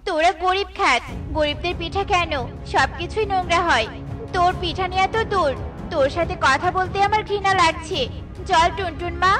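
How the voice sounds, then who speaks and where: A woman's voice: extremely high pitch, moderate pace. A woman voicing a snobbish mother bird in a children's cartoon, disdainful and cutting, with music behind her. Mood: scornful. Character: snobbish, haughty mother bird scorning the poor.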